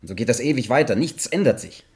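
An actor playing a troubled, earnest investigator in a German science fiction radio drama, with a husky voice. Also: male voice, medium pitch, animated, quick pace. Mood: earnest, intense. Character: troubled, restless male investigator, earnest and insistent.

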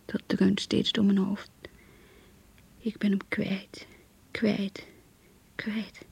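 A woman playing an earnest, lovestruck young girl in a radio play, emotional and yearning, with a breathy, husky voice. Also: mid-range voice, level tone, extremely slow, whispered, exaggerated voice. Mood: despairing. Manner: emotional, yearning, polite and shy. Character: lovestruck, earnest young schoolgirl graduate reading her diary. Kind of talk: Dutch radio play acting, diary narration and dialogue.

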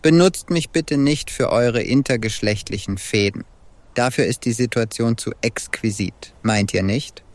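A man's voice: mid-range voice, unhurried, lightly acted. Man playing haughty, composed Venus goddess. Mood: self-assured. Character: haughty, self-assured Venus goddess, female temple hostess. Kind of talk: audio drama acting.

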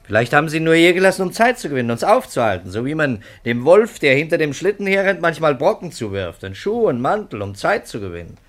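Male police interrogator, 1950s radio play: insistent, sardonic, highly animated intonation. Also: quick pace, few pauses. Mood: agitated. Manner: pressing, sardonic, rhetorical questioning. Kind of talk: 1950s German radio drama acting.

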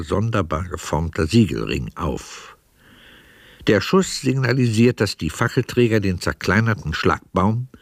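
Low-voiced male radio play narrator. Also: vocal fry, dry recording, clean studio sound.

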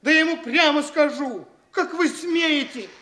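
An actor playing an indignant, cowardly, blustering young petty official in a theatrical comedy radio play, stammering and exclaiming petulantly. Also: male voice, very high voice, measured pace, sharp tone, slight background noise, full character voice. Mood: indignant, frightened.

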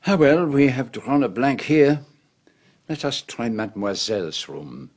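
An actor playing a shrewd, self-assured Belgian detective in a radio drama, with a French-speaking Belgian accent and a deliberate, theatrical manner. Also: male voice, medium pitch, very animated, a little mellow, a little hiss or hum. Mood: confident, amused. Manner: deliberate, theatrical, peppers speech with French phrases.

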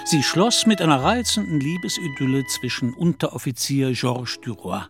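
A man's voice: mid-range voice, normal speed. A man giving scripted literary narration in a German radio play. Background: music behind the narration.

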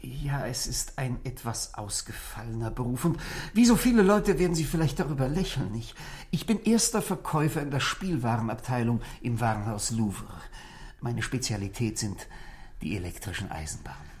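A man's voice: medium pitch, lively intonation, normal speed, darkish tone, natural voice. An actor playing a nervous, fussy salesman of around forty in a 1960s German radio play, hesitant, stammering and very apologetic. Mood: earnest. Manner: hesitant, stammering, over-polite, flustered.

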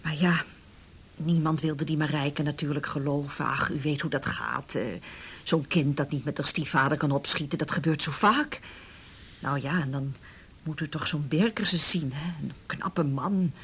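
A woman's voice: sits low, fairly even intonation, moderate pace, breathy edge. An actress playing a middle-aged village mother in a radio drama, gossipy and confiding, with a hesitant, rambling way of talking. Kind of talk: naturalistic radio drama dialogue.

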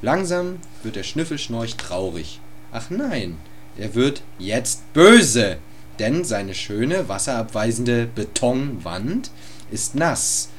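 Male narrator for an absurd comic radio play, deadpan and unhurried. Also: medium pitch, slight background noise. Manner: deadpan, absurd, crude humor.

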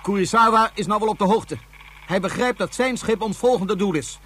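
An actor playing a cold, determined prince who leads a secret military plot in a radio play. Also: male voice, high-pitched, moderate pace, fairly bright tone, husky. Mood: cold, resolute. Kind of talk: Dutch science-fiction radio play acting.

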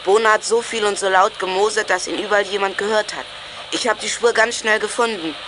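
An actor playing an eager young scout of a detective gang, reporting briskly in a German children's radio play. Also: mid-range voice, steady tone, noisy background, lightly acted. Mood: urgent. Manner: brisk, reporting over walkie-talkie.

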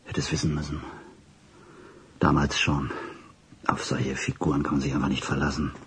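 A man's voice: rumbling low, flat delivery, moderate pace, a little hiss or hum. An actor playing a flustered, secretive boss in a radio drama, officious and clipped, hesitating and repeating himself as he gives orders. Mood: bitter. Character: flustered, secretive male boss, a commanding doctor dictating orders. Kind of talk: radio drama acting, phone calls and dictation.

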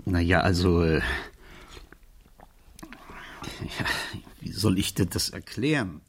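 An actor playing a strait-laced, embarrassed middle-aged uncle in a radio crime drama, husky and stammering with evasive hesitation. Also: male voice, low voice, moderate pace, slight background noise, lightly acted. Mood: grave, reluctant.

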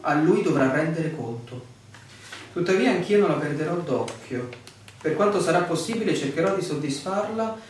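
A bossy, sardonic male surveyor played by an actor, with a fairly high voice that swings widely in pitch. His delivery is theatrical and commanding, as in a radio play. It sounds very echoey and off-mic. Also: expressive, moderate pace, natural voice. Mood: formal. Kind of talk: stage-like radio drama acting. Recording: echoey room, distant mic, background noise.

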